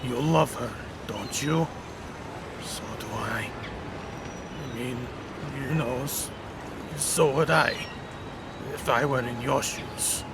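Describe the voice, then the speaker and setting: A man playing an unhinged, taunting male supervillain in a comic book dub, sly and mock-wistful as he makes deliberate threats. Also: mid-range voice, background noise.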